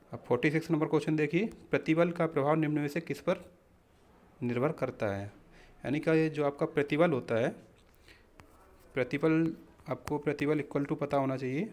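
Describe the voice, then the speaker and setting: Guy with a slightly high voice walking students through practice questions, teacher style, keeps addressing them as friends. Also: a little mellow. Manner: instructional, often addresses listeners as friends. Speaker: exam-prep physics teacher. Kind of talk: explaining practice questions in a lesson.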